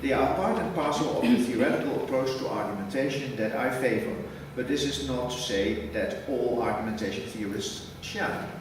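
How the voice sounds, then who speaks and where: Man, formal conference lecture, measured prepared reading, distant mic, big reverberant hall, audible background noise. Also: mid-range voice. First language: Dutch. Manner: measured, reads a prepared text, precise wording. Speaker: academic lecturer. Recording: echoey hall from far off with noise.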